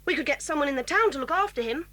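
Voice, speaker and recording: A woman's voice: high voice, expressive, very fast, sharp tone. An actress with a British accent playing an eager, polite schoolgirl in a children's audio drama.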